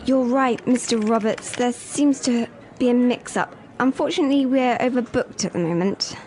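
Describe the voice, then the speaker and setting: A woman reading scripted dialogue parts for an English listening lesson, speaking clearly and carefully. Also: on the high side, normal speed, darkish tone, a little hiss or hum, close to an everyday voice. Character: woman calling in sick, then a polite hotel receptionist. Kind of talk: scripted role-play dialogue.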